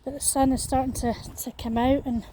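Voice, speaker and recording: A Scottish woman vlogging as she walks along an outdoor path in a small town. Her voice is croaky, and her talk is full of fillers and restarts. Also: high-pitched, flat delivery, moderate pace, mellow, slight background noise. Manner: hesitant, many fillers and restarts. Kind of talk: walking vlog chat.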